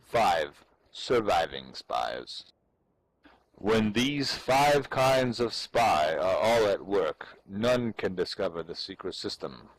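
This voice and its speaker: A man's voice: low-pitched, big pitch swings, takes it slowly. A man giving a theatrical, portentous dramatic reading of an ancient military treatise, with playful asides. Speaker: amateur reader performing for a live audience of gamers. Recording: clearly noisy background.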